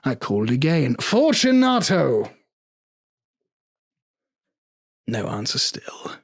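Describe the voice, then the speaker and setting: Man as husky, dramatic, vengeful gothic nobleman narrator. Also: medium pitch, wildly swooping pitch, no echo, clean studio sound, full character voice. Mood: cold, impatient. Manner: theatrical, deliberate formal phrasing. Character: cold, vengeful murderous nobleman recounting his crime.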